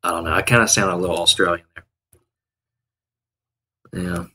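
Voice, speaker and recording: A man's voice: deep voice, fairly even intonation, normal speed, sharp tone. A man in his thirties chatting casually on a day-trading live stream from home, rambling with restarts and fillers. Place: home room, indoors. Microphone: headset.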